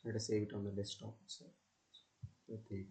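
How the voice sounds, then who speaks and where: A man narrates a step-by-step software tutorial as a student presenter, in halting, repetitive instructional phrases. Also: lowish voice, completely flat delivery.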